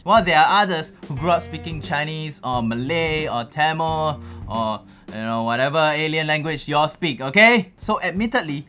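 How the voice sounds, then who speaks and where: A young man from Singapore with a Singaporean English accent, talking casually and animatedly to camera in a small room on a studio mic. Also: on the high side. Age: young adult. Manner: animated, gestures a lot, chatty asides. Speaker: youtuber host. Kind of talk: casual explanatory vlog. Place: small dark room with LED lights. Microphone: studio mic, headphones, seated at desk.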